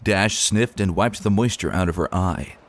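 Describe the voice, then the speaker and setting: A man narrating a story as a dramatic reading, expressive and deliberate in his delivery. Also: deep voice.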